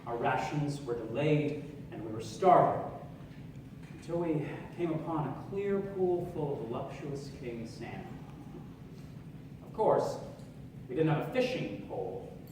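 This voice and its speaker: A man's voice: mid-range voice, lively intonation, measured pace, long pauses, close to an everyday voice. A man acting a hearty American army sergeant in a live stage radio drama, with theatrical, projected delivery. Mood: storytelling. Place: live on stage in an opera house. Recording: very echoey theatre hall, distant mic, noisy.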